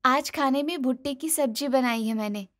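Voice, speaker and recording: Woman voicing an eager, high-pitched young daughter-in-law. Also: normal speed, natural voice. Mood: excited, proud. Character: eager young daughter-in-law, cheerful, pleading, easily hurt. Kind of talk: animated moral story dialogue.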